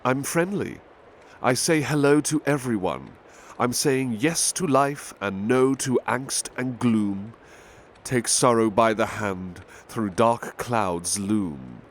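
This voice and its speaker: Guy doing a big-hearted butler asmr poetry reading, really slow n gentle, bit formal, faint noise behind. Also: mid-range voice, expressive. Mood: measured, wistful. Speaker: ASMR roleplay performer. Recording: faint ambient background noise.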